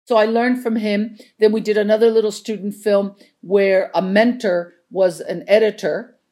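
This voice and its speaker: A woman's voice: medium pitch, steady tone, normal speed, dark tone. An older woman from Chile, a native Spanish speaker, telling anecdotes casually as an interview guest. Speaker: interview guest, veteran script supervisor.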